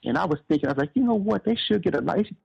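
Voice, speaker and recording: A man's voice: sits high, lively intonation, racing, vocal fry, a little mellow, breathy edge. A man from Chicago with an American accent, giving conversational answers as an interview guest. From Chicago, United States. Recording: dull, lo-fi band-limited sound.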